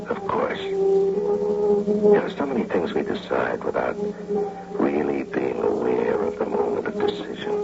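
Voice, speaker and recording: A man's voice: sits low, creaky, exaggerated voice. An actor playing a big, touchy unemployed husband in a radio drama, brooding and emphatic as he speaks and narrates. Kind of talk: old-time radio drama acting and first-person narration. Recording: noisy dull vintage radio broadcast recording.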